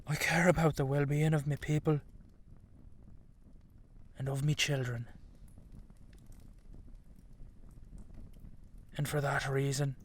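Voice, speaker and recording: Man as weary dragon king father, audio roleplay, stern, regal, deliberate pace, restrained even intonation. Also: mid-range voice. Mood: reluctant, regal. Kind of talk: scripted audio roleplay drama.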